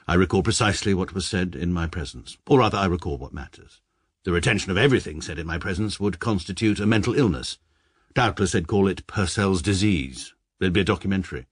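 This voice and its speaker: A deadpan British barrister narrator, deep and husky, dry studio. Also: male voice, no echo. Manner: precise, dry, pedantic. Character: pompous middle-aged barrister narrating his confession. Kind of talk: first-person radio narration.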